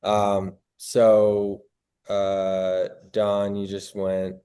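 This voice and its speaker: A man's voice: on the low side, completely flat delivery, very slow, dark tone, clean tone. A man from Colorado hosting a casual artists' meetup, talking hesitantly with many fillers. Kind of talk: casual group introduction.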